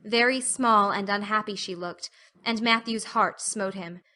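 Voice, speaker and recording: A woman's voice: medium pitch, a little slow, bright, forceful tone. A woman reading scripted audiobook narration of a story as its narrator.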